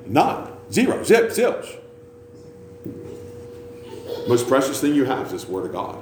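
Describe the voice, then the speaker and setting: Animated preacher in a roomy church. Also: male voice, mid-range voice, moderate pace. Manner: conversational, self-mocking, teasing. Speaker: pastor. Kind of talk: sermon with joking asides. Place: church sanctuary with congregation. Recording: roomy hall with faint ambience.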